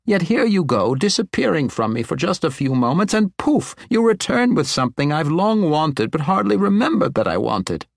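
An excitable old wizard from a fantasy storybook , acted out by a male narrator. He is kindly and eccentric, rambling in exclamations, his raised voice leaping up and down in a dry, close recording. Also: medium pitch, normal speed, creaky, no room sound, voice pushed up. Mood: excited.

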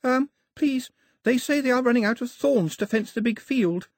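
A man's voice: very high-pitched, normal speed, no echo, studio quality, pitched above the performer's own voice. A man voicing a small, nervous boy with a hesitant way of speaking.

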